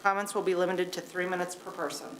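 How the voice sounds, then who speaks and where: A woman's voice: fairly low pitch, level tone, a little hiss or hum. A woman chairing a city commission meeting, calling votes and reading agenda items in formal procedural wording. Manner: brisk, reads formal wording.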